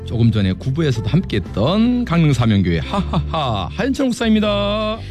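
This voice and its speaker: A male pastor guesting on a festive radio broadcast, with a mid-pitched voice that swings widely in pitch as he jokes. He talks in a chuckling, playful way with the hosts. Faint music plays beneath. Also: huge pitch swings, normal speed, darkish tone. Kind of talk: friendly radio banter.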